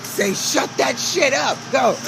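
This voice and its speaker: A young woman chatting casually and bossily, excitable and giggly, on an amateur home video. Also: high voice, slow, vocal fry. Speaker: family member filming and egging on. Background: loud wood chipper noise.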